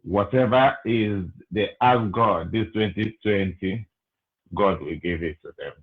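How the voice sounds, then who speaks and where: A man from Nigeria with a Nigerian accent speaks over a tinny phone line. His voice is low and his pace slow and unhurried, with frequent pauses and fillers as he works through his greetings. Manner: slow, hesitant, many fillers. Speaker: caller. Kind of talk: call-in greetings and comment.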